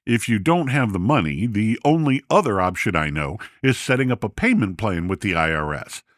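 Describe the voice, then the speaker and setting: A man voicing a calm, blunt, matter-of-fact accountant in a podcast story. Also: fairly low pitch, dry recording, studio quality, pitched below the performer's own voice, lightly acted. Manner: measured, blunt.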